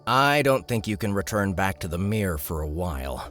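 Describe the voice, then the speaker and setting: A man plays a smooth-talking hero in a fantasy audiobook. His voice is very deep, his delivery measured and self-assured. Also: big pitch swings, fairly bright tone, soft background music. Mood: calm, persuasive. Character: composed, smooth-talking male hero leader. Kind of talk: audiobook character acting.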